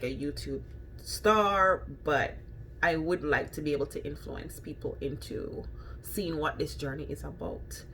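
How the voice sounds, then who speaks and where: Low-voiced Jamaican woman chatting casually. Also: a little hiss or hum, low-quality recording. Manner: chatty, rambling, frequent ums and restarts. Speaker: vegan food vlogger. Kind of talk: casual talk to camera.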